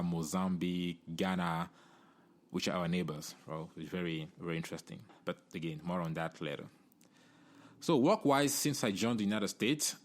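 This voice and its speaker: A middle-aged man from Cameroon, hosting a solo podcast in an earnest, conversational way, often tagging "you know" and "right?". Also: sits low. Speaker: podcast host.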